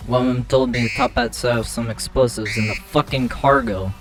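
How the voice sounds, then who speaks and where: A man voicing a gruff, worried and menacing right-hand man of an airship gang in a comic dub, terse and urgent. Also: medium pitch, steady tone, brisk, natural voice. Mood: grim, menacing. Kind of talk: comic dub character acting. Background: music.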